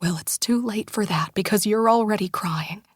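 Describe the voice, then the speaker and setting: A woman's voice: fairly high pitch, measured pace, no echo, clean studio sound. Woman reading as a tearful small-town mother. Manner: pleading, heartfelt. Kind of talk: audiobook character dialogue.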